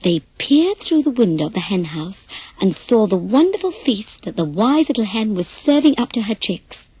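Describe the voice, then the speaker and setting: A woman reading a children's story as an animated, scripted narration. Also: medium pitch, normal speed, husky, dry recording, low-quality recording.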